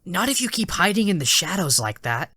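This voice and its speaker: A man acts out an earnest young male hedgehog hero from an audio story. The voice is sharp and forceful, swinging widely in pitch as he puts urgent, challenging questions. It is recorded close and dry. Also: very animated, fairly quick, breathy edge, no room sound, heavily acted. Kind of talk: dramatic audio fan-fiction character acting.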